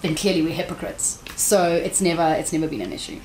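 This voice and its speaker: A woman's voice: low voice, sing-song, fast talker, a little mellow, a little hiss or hum, low-quality recording. Frank woman presenter. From South Africa. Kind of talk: candid interview answers.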